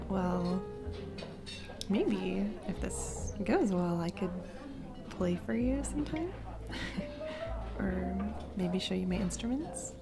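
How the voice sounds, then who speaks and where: A woman playing a warm single mom on a first date in a romantic audio roleplay, speaking hesitantly and encouragingly with soft giggles. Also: mid-range voice, expressive, very slow, creaky, long pauses, exaggerated voice. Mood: shyly playful. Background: background music, restaurant date ambiance.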